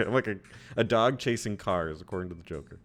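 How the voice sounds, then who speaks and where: A man's voice: lowish voice, lively intonation, a little fast, a bit bright. A man in his thirties co-hosting a gaming podcast, chatting casually, laughing easily and poking fun at himself. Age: 30s. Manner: laughs easily, self-deprecating. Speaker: podcast co-host. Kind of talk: casual chat.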